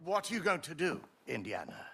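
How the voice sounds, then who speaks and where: An actor playing a fussy, genteel older British academic, concerned and expressive, in a cinematic action-adventure game. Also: male voice, a little high, fairly quick, gravelly, a little hiss or hum, heavily acted. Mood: concerned, curious.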